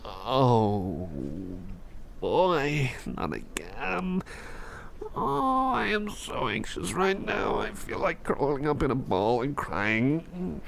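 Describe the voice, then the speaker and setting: A man's voice: fairly high pitch, huge pitch swings, slow, gravelly, a little hiss or hum, exaggerated voice. A man playing a jittery, socially anxious, over-caffeinated guy in an ASMR roleplay, rambling and stammering as he repeats himself. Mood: pained, anxious. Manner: rambling, stammering, repeats phrases, self-doubting. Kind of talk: ASMR roleplay monologue addressed to the listener.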